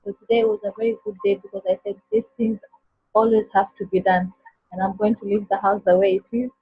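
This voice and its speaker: A woman's voice: medium pitch, steady tone, fast talker, husky, no echo. A woman, a mother, sharing earnestly with a group course on a video call. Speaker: course participant. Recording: dull dry call audio, faint music behind.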